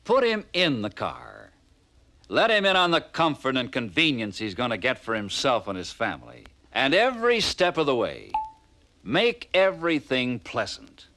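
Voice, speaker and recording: An American man narrates like a vintage fifties training film. His pitch swings dramatically as he presses each point with punchy, persuasive phrasing and pointed rhetorical questions. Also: sing-song, moderate pace, bright, forceful tone. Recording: vintage 1950s film soundtrack.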